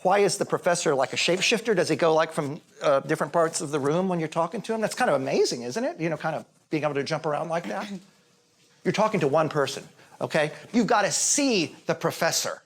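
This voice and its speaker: A man acting as a cocky male acting coach in a mockumentary comedy. His pitch swings widely as he rambles on, brash and sarcastic, belittling whoever is listening. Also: expressive, fast. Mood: impatient, sarcastic. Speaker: acting teacher.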